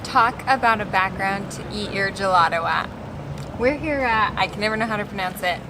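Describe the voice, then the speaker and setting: A woman's voice: mid-range voice, brisk. A young American woman chatting casually as a travel vlogger. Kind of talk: casual vlog chat. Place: outdoors in a town and gardens. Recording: outdoor ambience with clear background noise.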